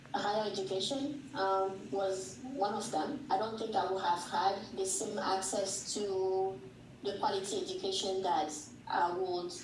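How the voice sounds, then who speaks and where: A woman in her thirties, originally from Togo, answering interview questions in a measured, reflective way. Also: mid-range voice, background noise. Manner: measured, reflective, thinking aloud. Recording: echoey room, distant mic.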